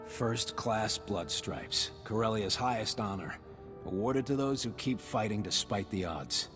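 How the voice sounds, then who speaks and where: A man playing a proud, weary army captain in a video game, formal and steady. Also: on the low side, unhurried, over music, lightly acted. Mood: proud, solemn. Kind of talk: video game cutscene acting.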